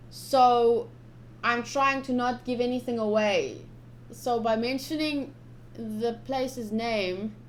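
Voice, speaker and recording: High-voiced woman streaming a visual novel. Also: a little hiss or hum. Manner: switches between dramatic read-aloud and chatty asides. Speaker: game streamer. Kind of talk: live visual novel playthrough, reading aloud and commenting to chat.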